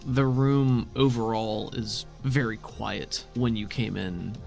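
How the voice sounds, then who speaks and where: A man's voice: fairly low pitch, fairly even intonation, takes it slowly, creaky, a little mellow, soft background music. A man narrating scenes as the game master of a tabletop roleplaying game.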